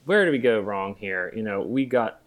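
A man's voice: lowish voice, animated, moderate pace. A middle-aged man co-hosting a casual podcast discussion, rambling a bit with self-deprecating humor. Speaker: podcast co-host, music theory teacher.

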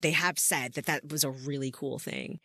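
A woman's voice: deep voice, steady tone, normal speed, sharp tone, dry recording, clean studio sound. A woman hosting a podcast interview in a casual, chatty way, curious and encouraging toward her guest. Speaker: podcast host.

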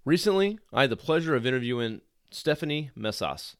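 A male host with a mid-range voice reads a guest introduction at an even pace. The sound is dry, with quiet music running underneath. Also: medium pitch, a little fast, no echo. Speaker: podcast host.

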